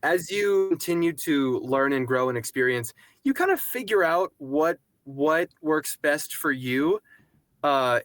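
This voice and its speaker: A man's voice: medium pitch, fairly bright tone, no echo. A man voice actor casually telling his story on a video call. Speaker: voice actor guest. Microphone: home booth microphone.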